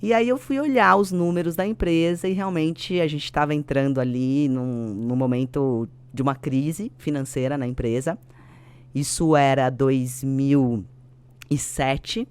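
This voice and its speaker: A woman of around forty with a Brazilian accent, telling her story conversationally as an interview guest, expressive and fond of tag questions. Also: rumbling low, a little slow, smooth. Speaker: podcast guest, company executive.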